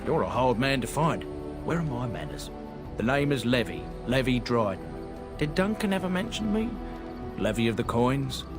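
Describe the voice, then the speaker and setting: A man plays a talkative old trader in a fantasy role-playing game, with a mid-pitched voice and a folksy, rambling manner. Game music plays clearly underneath. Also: big pitch swings, moderate pace, natural voice. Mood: chatty. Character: older male traveling trader, chatty and folksy. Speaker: merchant character in a video game. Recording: game audio over background music. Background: game soundtrack music.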